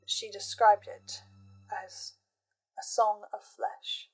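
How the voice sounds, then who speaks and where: A woman hosting a scripted horror analysis, speaking as an investigator in a measured, deliberate way with dramatic pauses. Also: mid-range voice, completely flat delivery, creaky, darkish tone, husky, poor audio. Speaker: host of a fan theory channel. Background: music.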